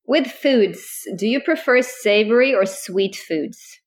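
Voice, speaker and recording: A woman in her thirties from Northern Norway, speaking English with a Northern Norwegian accent as a podcast co-host, relaxed and laughing often. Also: a little high, slow pace. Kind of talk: casual chat.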